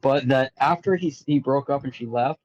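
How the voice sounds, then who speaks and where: A man's voice: medium pitch, moderate pace, darkish tone, highs cut off, a little hiss or hum. A man on a podcast panel telling stories in a blunt, casual, conversational way. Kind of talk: casual chat, telling anecdotes.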